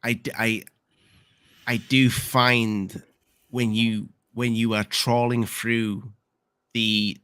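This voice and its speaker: Host: man, easygoing and slangy, slow pace, bright forward tone. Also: medium pitch, level tone, smooth. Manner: informal, slangy. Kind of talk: casual interview chat.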